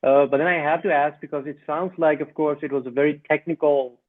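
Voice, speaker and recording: A man's voice: fairly high pitch, fairly even intonation, a little fast. A man hosting a podcast, asking interview questions and giving frequent encouraging responses. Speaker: podcast host. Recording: tinny phone-like line.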